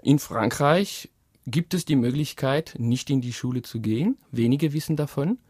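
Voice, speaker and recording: A young man from France with a French accent, speaking German as a guest in a radio interview, calm and formal. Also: medium pitch, husky, dry recording, studio quality. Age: young adult. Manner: calm, measured, formal phrasing. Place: radio studio.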